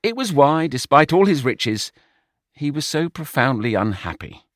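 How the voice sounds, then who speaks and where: A man reading a short story aloud in an expressive, wry manner. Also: a little high, normal speed. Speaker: audiobook narrator. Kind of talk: scripted story narration.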